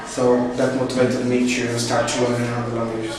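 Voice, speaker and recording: A man whose first language is Italian, answering interview questions slowly and pausing to search for words. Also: medium pitch, completely flat delivery, music in the background. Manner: slow, searching for words with fillers. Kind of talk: class project interview. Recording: roomy echo, distant mic, faint noise.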